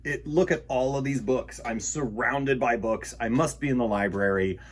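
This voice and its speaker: A man's voice: mid-range voice, moderate pace. A man hosting a children's storytime, reading a picture book aloud and chatting playfully and theatrically to young listeners. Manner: animated, theatrical, playful exclamations and gasps; addresses young listeners directly. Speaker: host of a children's storytime show.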